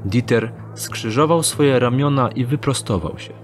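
A man narrates a fantasy audio drama in steady, descriptive storytelling. His voice sits at a middle pitch. Music plays clearly behind him. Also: unhurried.

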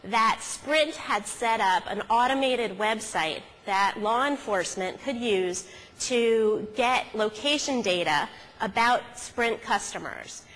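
A woman speaking as a keynote speaker at a conference, telling case stories conversationally with halting self-corrections, from a podium on an auditorium stage. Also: a little high, animated, fairly bright tone. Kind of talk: conference talk, conversational storytelling. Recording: hall reverb from stage sound system.

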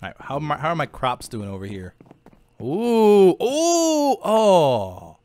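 A man chatting casually while playing a game with friends, excitable and playful, gushing with enthusiasm. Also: a little high, slow pace. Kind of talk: casual gameplay chat.

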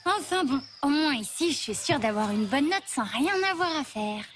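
A woman's voice: high-pitched, lively intonation, moderate pace, noisy background. A woman voicing a flippant, self-satisfied teenage girl in a French children's cartoon. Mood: sulky, offhand. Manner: dismissive, breezy, self-satisfied. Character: cocky, flippant teenage schoolgirl trainee.

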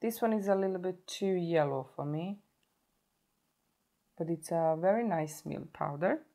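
Woman in her thirties, hoarse from a sore throat, lowish voice; a chatty beauty vlogger talking close to the camera. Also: measured pace, mellow. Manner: chatty, self-correcting, stops to show products. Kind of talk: casual makeup tutorial. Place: indoor home filming set.

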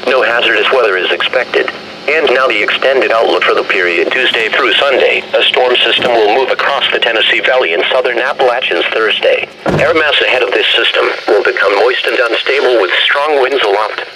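A synthetic man's voice reading a scripted weather bulletin in formal, measured, clipped phrases through a handheld radio speaker. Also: medium pitch, background noise. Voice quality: synthetic text-to-speech voice. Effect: played through a handheld radio speaker. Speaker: automated weather radio announcer.

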